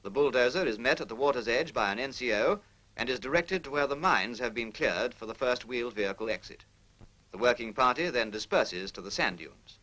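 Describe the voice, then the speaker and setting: A man's voice: mid-range voice, expressive, a little fast, pushed and bright, rough recording. A British man reading formal scripted narration in the manner of a 1940s military training film, precise, instructional and impersonal.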